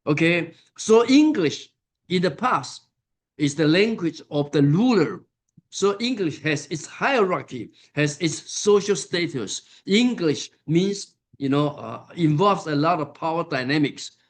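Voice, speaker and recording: A man giving a conference lecture, unhurried and full of fillers and pauses. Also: on the high side, lively intonation. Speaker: conference keynote speaker. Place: conference hall.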